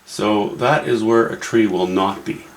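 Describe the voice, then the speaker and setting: A man's voice: on the low side, fairly even intonation, a little slow, echoey room, slight background noise. A man with a Canadian accent, thinking aloud in a wry, musing way as he walks through a painting demo. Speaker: painting instructor. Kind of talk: casual painting demo narration.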